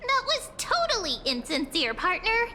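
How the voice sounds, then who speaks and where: A woman's voice: very high voice, wildly swooping pitch, normal speed, bright, forceful tone, big-room sound, a little hiss or hum, exaggerated voice. A woman voicing a tiny, cheeky, childlike companion creature in a video game. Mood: cheeky, playful.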